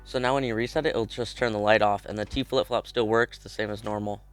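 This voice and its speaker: A man's voice: on the low side, fairly even intonation, quick pace, soft background music. A young man with a cold, casually walking through a step-by-step tutorial in a chatty, informal way. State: has a cold. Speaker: video creator explaining a game build.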